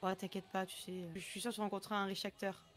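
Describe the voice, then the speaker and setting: A woman's voice: mid-range voice, flat delivery, fast talker, fairly bright tone, faint music behind, natural voice. A woman improvising as a chatty, reassuring friend in casual roleplay game conversation. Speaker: roleplayer in an online game server.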